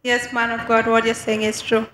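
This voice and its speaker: A woman's voice: on the high side, completely flat delivery, moderate pace, a bit bright, echoey room, slight background noise. A woman from Kenya, a congregant who gives short, plain answers.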